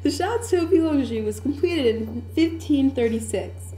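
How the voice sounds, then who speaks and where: Woman playing a high, mellow-voiced chateau tour guide. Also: expressive, unhurried, faint music behind. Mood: informative. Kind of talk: scripted narration, puppet show. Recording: echoey room.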